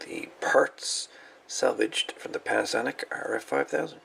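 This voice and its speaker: Deep, gravelly man in casual show-and-tell. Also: fairly bright tone. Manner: folksy, rambling, halting delivery. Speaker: radio hobbyist presenting salvaged parts.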